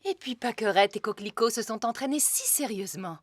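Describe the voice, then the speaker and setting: A woman playing a gentle, patient fairy queen and mother in a children's cartoon dub, polite and motherly. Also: fairly high pitch, expressive, brisk, very noisy, close to an everyday voice. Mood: encouraging.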